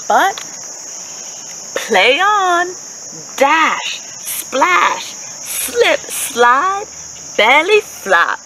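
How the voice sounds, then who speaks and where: A woman in her thirties reading a picture book expressively to children outdoors, addressing young listeners with questions in an animated, enunciated way. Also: high voice, drawn-out words, pauses often. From Haitian American. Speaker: children's story reader, host. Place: outdoors in a leafy park. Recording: steady outdoor ambient noise with insects. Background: insects, birds, outdoor ambience.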